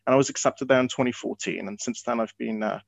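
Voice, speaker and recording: A young man from France and the UK, a PhD candidate guest talking casually and thoughtfully with many pauses and fillers. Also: medium pitch, gravelly, no room sound. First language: English. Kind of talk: casual interview talk. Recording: dull lo-fi audio.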